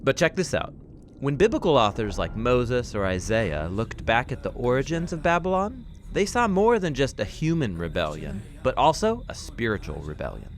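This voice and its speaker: A man's voice: medium pitch, very animated, normal speed, slight background noise. A man giving a conversational, scripted explanation in an emphatic, teacherly way, affirming his partner as he goes. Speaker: explainer, co-host teaching Bible themes.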